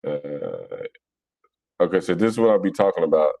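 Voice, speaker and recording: A man with a Philadelphia accent and a fairly low voice, recorded dry and close. He talks off the cuff as a livestream host, in a blunt, slangy, mocking way. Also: wildly swooping pitch, moderate pace, vocal fry, mellow, no room sound. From Philadelphia, United States. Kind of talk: casual live religious debate, answering viewer comments.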